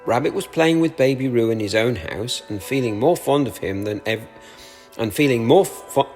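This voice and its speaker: A man giving an expressive read-aloud narration of a children's storybook. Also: mid-range voice, moderate pace, faint music behind. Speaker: storybook reader.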